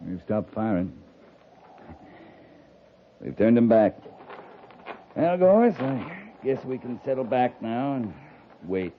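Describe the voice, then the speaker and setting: A stern army captain from a 1950s radio western, with a medium-pitched male voice. He speaks in clipped, commanding phrases and presses his point shrewdly. The old broadcast sound is narrow and lo-fi. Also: expressive, slow, pauses often, slight background noise. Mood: wry, settled. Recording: muffled lo-fi 1950s radio recording.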